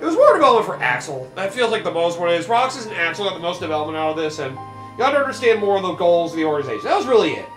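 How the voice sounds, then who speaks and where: A man's voice: sits high, very animated, racing, echoey room, over music. A man co-hosting a let's play, rambling and hesitant with many fillers. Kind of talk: casual commentary chat.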